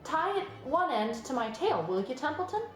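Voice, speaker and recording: A woman's voice: high voice, normal speed, pitched above the performer's own voice. A woman voicing a naive, eager, boastful young pig in a children's storybook read-aloud. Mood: excited, cheerful. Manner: earnest and excitable. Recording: roomy sound, music behind.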